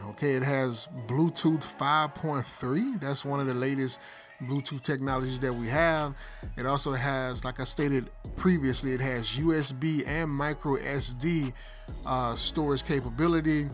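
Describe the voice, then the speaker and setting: Middle-aged male reviewer, mid-pitched, explanatory and casual, addressing viewers over a clear music bed. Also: a bit bright. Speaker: tech product reviewer. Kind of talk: casual product review and demonstration. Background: background music.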